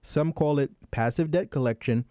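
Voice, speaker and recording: A man's voice: fairly high pitch, normal speed, dark tone, no echo, clean studio sound. A man hosting a casual chat in an easygoing way, sometimes reading legal text aloud. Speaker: podcast host.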